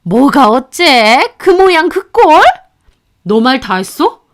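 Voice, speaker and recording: A woman voicing a shrill, scolding older mother-in-law in a narrated story. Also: very animated, moderate pace, smooth. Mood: furious. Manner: sharp, shrill, accusing.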